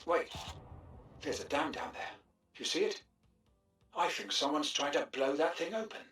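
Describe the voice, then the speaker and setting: An actor playing a wry, cautious informant and mentor in a video game, with a knowing, cautioning manner. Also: male voice, fairly high pitch, expressive, normal speed, a bit bright, faint music behind. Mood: watchful, cautioning. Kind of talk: video game cutscene acting.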